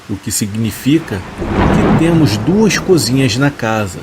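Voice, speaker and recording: A man calmly reading first-person horror stories in a steady, scripted narration. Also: mid-range voice. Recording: faint rain and hiss behind the voice. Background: rain sounds.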